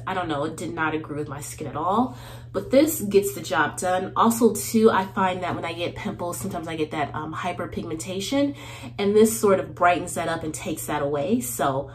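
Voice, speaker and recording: A woman's voice: medium pitch, a little hiss or hum. A woman in her thirties hosting a get-ready-with-me video in her bathroom, chatty and laughing often, sounding a little nasal from a cold. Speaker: beauty vlogger host. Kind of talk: casual get-ready-with-me chat. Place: small indoor bathroom.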